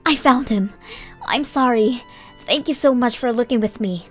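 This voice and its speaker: A woman's voice: on the high side, normal speed, soft background music, voice pushed up, natural voice. A woman voicing an earnest, grateful young dog owner in polite comic-dub dialogue. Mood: apologetic, grateful.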